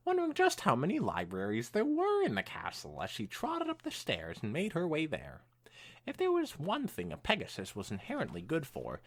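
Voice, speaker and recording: A young man hosting a podcast, reading fan fiction aloud in an animated way mixed with casual chat. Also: fairly low pitch.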